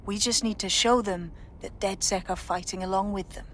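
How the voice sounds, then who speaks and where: A woman's voice: medium pitch, steady tone, moderate pace, fairly bright tone, slight background noise, lightly acted. A woman voicing a determined, rallying leader of an underground resistance in a video game. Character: rallying female leader of a London resistance hacker group. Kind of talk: video game cutscene acting.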